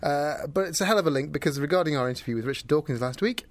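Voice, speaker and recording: A man's voice: on the high side, racing, dry recording. A man hosting a podcast, interviewing and bantering in a joking way. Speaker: podcast host. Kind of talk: casual chat and interviewing.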